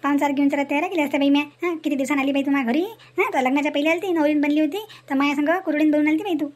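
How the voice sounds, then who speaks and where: A woman's voice: high voice, fairly even intonation, racing, a little mellow, natural voice. A woman voicing a gossipy, indignant village woman in a comedy cartoon, scolding and chatty in a rural Varhadi Maharashtrian accent. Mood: chiding. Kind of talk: animated comedy cartoon dialogue in rural dialect.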